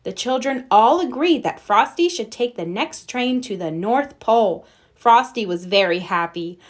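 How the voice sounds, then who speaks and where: A woman reading a children's storybook aloud as narrator, with expressive storytelling. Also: fairly high pitch, a little slow. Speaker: storybook reader.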